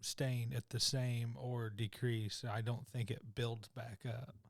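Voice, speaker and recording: A man's voice: mid-range voice, flat delivery, slow, gravelly, no echo. A young man with a mid-range voice, laid-back and blunt, joking with his co-host on a podcast. The sound is dry and close to the mic. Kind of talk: casual chat. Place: podcast studio.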